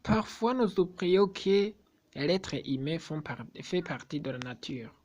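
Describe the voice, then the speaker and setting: Slow, deliberate man addressing his subscribers. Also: fairly high pitch, animated, darkish tone, muffled recording. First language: not French. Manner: slow, deliberate, repetitive, with hesitations. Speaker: YouTuber.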